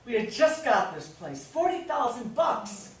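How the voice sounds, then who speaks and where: A woman playing a brash, stubborn mother in a staged play reading, loud and theatrical. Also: fairly high pitch, sing-song, a little slow. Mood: drunken, gleeful. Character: Maggie, drunk, rambling, brash mother, emotional and stubborn. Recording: echoey room, distant mic, faint noise.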